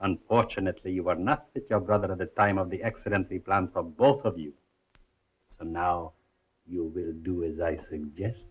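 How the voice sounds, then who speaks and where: An actor playing a cold, calculating male villain in a 1930s crime film, speaking formally and in a measured, menacing way. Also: low-pitched, slightly rough. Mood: cold menace. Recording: muffled 1930s film soundtrack, faint music.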